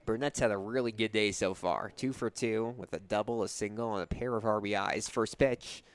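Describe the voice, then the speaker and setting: A man's voice: on the low side, steady tone, normal speed, clean tone, no echo. A young man doing live radio play-by-play sports commentary in a clipped, descriptive way. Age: young adult. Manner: clipped, descriptive call of the action. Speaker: play-by-play announcer.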